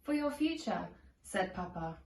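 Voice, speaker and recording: A woman narrating a children's storybook aloud in a measured, deliberate storytelling manner. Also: mid-range voice, big pitch swings. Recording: echoey room, distant from microphone.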